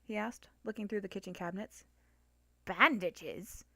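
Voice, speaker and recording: A woman's voice: medium pitch, a little fast, no echo. Woman narrating a story, dry recording. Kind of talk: scripted story narration, dramatic reading.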